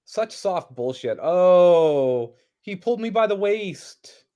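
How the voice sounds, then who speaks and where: A man's voice: high voice, animated, slow, warm tone. A man co-commentating casually on a basketball game, blunt and slangy, with opinions and some swearing. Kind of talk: casual chat and live game reactions.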